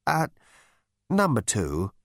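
A man's voice: mid-range voice, wildly swooping pitch, slow, gravelly, fairly bright tone, dry recording, clean studio sound, full character voice. A man voicing a mild, bemused, chummy and idle spaceship captain in a comic science fiction audiobook. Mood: gently admonishing. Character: vague, genial, idle spaceship captain lounging in bath.

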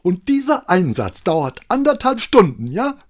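A man voicing a furious, near-hysterical woman caller whose voice grows shrill with rage. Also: sing-song, moderate pace, a little mellow.

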